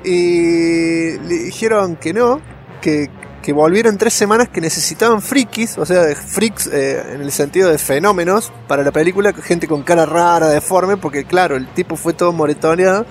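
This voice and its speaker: A man's voice: fairly high pitch, normal speed, soft background music. A man with an Argentine accent co-hosting a podcast, sharing film trivia in a colloquial way and repeating words for emphasis. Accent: Argentine Spanish. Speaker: podcast co-host. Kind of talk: casual film trivia chat.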